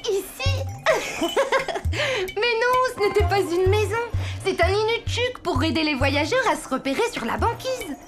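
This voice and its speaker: A woman voicing a young, sensible and playful child in a French cartoon dub, explaining things brightly to friends. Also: very high-pitched, lively intonation, unhurried. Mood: amused. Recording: music behind the voice.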